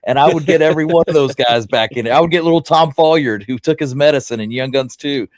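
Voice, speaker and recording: A man's voice: mid-range voice, fast, no echo. A man co-hosting a history talk show, telling anecdotes in an informal, rambling way. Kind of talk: casual chat with anecdotes.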